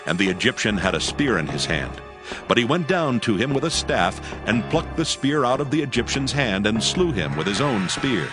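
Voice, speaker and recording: A man narrating a dramatized scripture as scripted audio, with solemn, measured storytelling in archaic phrasing. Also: fairly low pitch. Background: music.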